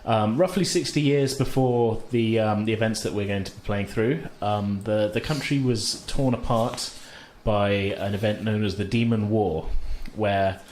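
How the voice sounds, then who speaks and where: A man's voice: lowish voice, unhurried, few pauses, a little mellow. A man hosting a tabletop role-playing game as game master, narrating in a casual, loosely improvised way. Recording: noisy room ambience.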